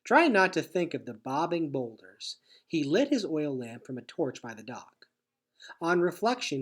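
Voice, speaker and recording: A man reading a children's adventure novel aloud in an expressive, dramatic storytelling style. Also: fairly high pitch, breathy edge.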